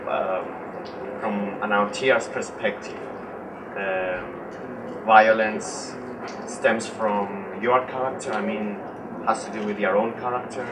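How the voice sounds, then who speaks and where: A man's voice: lowish voice, steady tone, slow pace. A man in the audience of a panel discussion, putting questions in tentative, piecemeal phrases. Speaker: audience member asking questions. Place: room at a festival discussion. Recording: very noisy, distant microphone.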